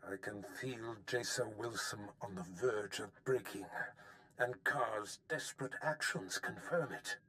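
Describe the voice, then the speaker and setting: An actor playing a scheming, imperious older Sith master in a video game, haughty, theatrical and deliberate. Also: male voice, fairly low pitch, bright, forceful tone.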